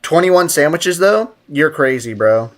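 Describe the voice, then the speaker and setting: A man's voice: fairly high pitch, expressive, measured pace, a bit bright. A man live streaming a game with casual, slangy commentary, joking and teasing as he chats with viewers. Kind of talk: casual gaming stream commentary, reading and answering chat.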